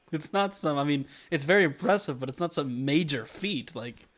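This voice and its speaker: A man's voice: fairly high pitch, expressive, normal speed, a bit bright. A young man co-hosting a podcast, bantering casually, laughing often and swearing as he rambles. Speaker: podcast co-host.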